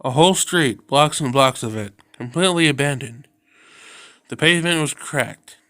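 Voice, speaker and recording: A man reads a visual novel aloud in a smooth, resonant voice. His telling stays subdued and steady. The sound is close and dry. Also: mid-range voice, normal speed, no room sound. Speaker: video game narrator.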